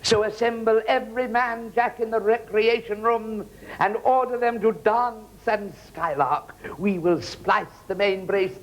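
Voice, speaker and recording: A woman's voice: on the high side, steady tone, measured pace, dull audio, close to an everyday voice. An actress playing a scheming, imperious grand lady who gives commands and gloats. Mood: gloating.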